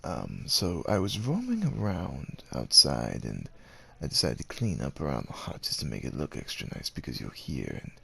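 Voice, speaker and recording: A man playing a devoted, gentle werewolf suitor in an ASMR roleplay, speaking with hesitant tenderness and courtly phrasing in a gravelly, husky voice. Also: rumbling low, huge pitch swings, normal speed, few pauses, heavily acted. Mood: hesitant, earnest. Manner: hesitant, tender, old-fashioned courtly phrasing with tag questions. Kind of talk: ASMR roleplay, acted monologue addressed to the listener.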